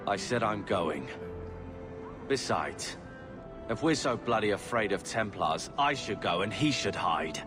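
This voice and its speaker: A man's voice: on the low side, animated, a little slow. An actor playing a stubborn, sullen young man in a video game, speaking curtly and defiantly. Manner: curt, defiant. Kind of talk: video game cutscene acting. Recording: loud music behind dialogue.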